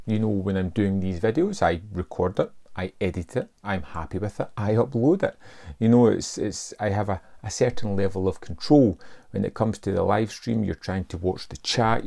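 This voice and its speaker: A man's voice: sits low, vocal fry, mellow. A man hosting a film channel, talking casually and reflectively to camera, with frequent fillers and restarts. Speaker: film review channel host.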